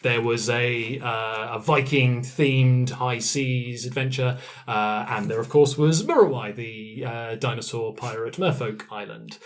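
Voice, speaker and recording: A man's voice: mid-range voice, a little slow. A man hosting a casual podcast as games master, chatty and playful. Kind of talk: casual podcast chat with scripted intro.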